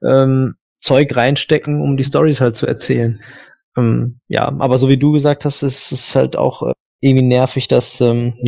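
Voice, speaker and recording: A man with a gravelly voice who rambles loosely, full of fillers, in a casual discussion. His audio is dull and lo-fi, missing its clarity. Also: medium pitch, darkish tone.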